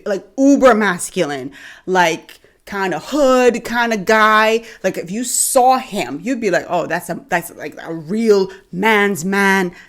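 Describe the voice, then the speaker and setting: A Bahamian woman hosting a podcast, reacting to a clip with animated, drawn-out emphasis. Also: medium pitch. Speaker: podcast host.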